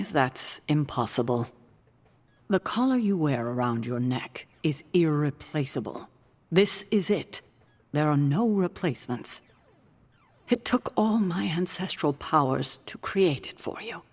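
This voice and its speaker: Game mentor: low, husky female voice, earnest, weary and proud, set in a long reverberant hall. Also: exaggerated voice. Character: weary, proud, self-sacrificing woman mentor without powers. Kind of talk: dramatic video game dialogue.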